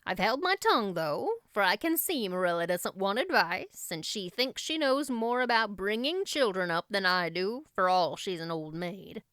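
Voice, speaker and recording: Woman doing an older countrywoman, know-all, folksy, emphatic, clear voice, storybook monologue. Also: mid-range voice, expressive, normal speed, few pauses, a bit bright, dry recording, studio quality, lightly acted. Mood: disapproving. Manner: emphatic, plain-spoken, rustic turns of phrase.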